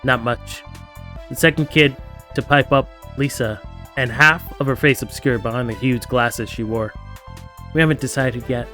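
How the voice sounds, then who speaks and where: A man's voice: medium pitch, a bit bright. A man streaming a visual novel, reading the game's text aloud with casual asides and playfully acting out the characters' lines.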